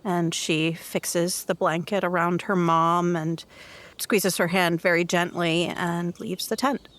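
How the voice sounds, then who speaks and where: A woman's voice: fairly low pitch, steady tone, slow pace. A woman player describing her character's actions and feelings in a tabletop roleplaying game.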